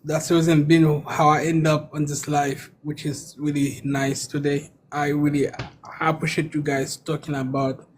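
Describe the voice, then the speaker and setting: Halting Haitian-accented man, slightly high voice. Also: flat delivery, slight background noise. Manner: halting, stammering repetitions. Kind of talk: personal story in casual live chat.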